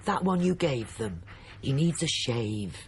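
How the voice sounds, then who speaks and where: Older mother, chatty and fretful, gravelly edge, animated delivery, naturalistic radio drama. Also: very deep voice, unhurried, slight background noise, exaggerated voice. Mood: fretful, hopeful. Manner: rambling, seeks agreement.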